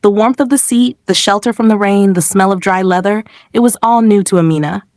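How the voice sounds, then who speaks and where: A woman's voice: medium pitch, a little fast, a little mellow, no echo. Female storyteller for scripted dramatic folktale narration, dry intimate recording.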